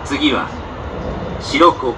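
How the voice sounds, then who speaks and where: A man's voice: mid-range voice, sing-song, very slow. A man reading a scripted train announcement formally, phrase by phrase. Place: train PA heard inside a moving carriage. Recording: distant onboard speakers, loud train noise.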